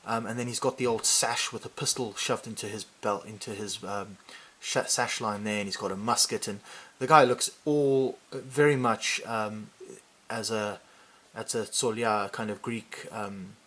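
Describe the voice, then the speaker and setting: A man's voice: on the low side, sharp tone, slight background noise. A man hosting a miniature painting vlog. He chats casually with many fillers and restarts, and gets livelier while showing figures up close. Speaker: hobby channel host.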